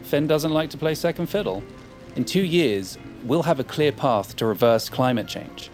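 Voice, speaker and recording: A man's voice: on the high side, normal speed, music in the background, close to an everyday voice. A man playing a competitive, self-important futurist in an audio drama, articulate and superior with dry wit. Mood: dismissive.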